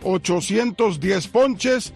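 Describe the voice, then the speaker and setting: High-voiced man hosting sports radio. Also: gravelly, darkish tone, soft background music. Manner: measured, presenting stats and segments. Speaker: radio host.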